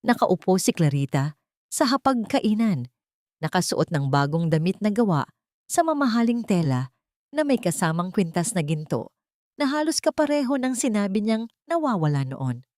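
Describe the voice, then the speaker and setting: A woman reading a scripted story in an expressive, melodramatic storytelling manner. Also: mid-range voice, no room sound, studio quality. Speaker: narrator. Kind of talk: dramatic scripted story narration.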